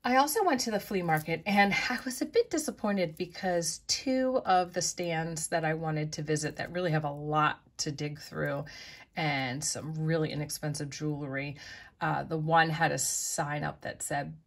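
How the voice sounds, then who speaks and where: A middle-aged woman chatting easily through her thrift and flea market finds, with soft asides. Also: low-pitched, normal speed. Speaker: vlogger showing thrift finds. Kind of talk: casual show-and-tell narration. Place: indoors at a table, close-up of hands.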